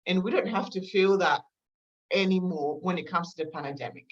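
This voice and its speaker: Woman guest, bit of a low voice, aussie mixed w eastern european accent, halting, thinks as she talks. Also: lo-fi sound. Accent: mixed Eastern European and Australian. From former Yugoslavia, moved to Australia at eleven. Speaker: podcast guest, counselor. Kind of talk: personal storytelling in an interview.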